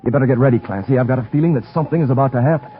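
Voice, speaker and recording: A man playing a nervous, excitable warehouse owner with an agitated manner in 1930s radio drama style. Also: mid-range voice, rapid-fire, slight background noise, close to an everyday voice. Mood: tense, irritable. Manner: stammering, agitated. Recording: muffled old radio recording.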